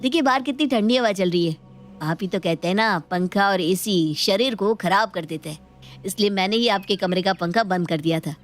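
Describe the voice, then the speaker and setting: A woman voicing a playful, outspoken young daughter in an animated moral story, with a chatty, teasing manner. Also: mid-range voice, moderate pace, faint music behind, lightly acted.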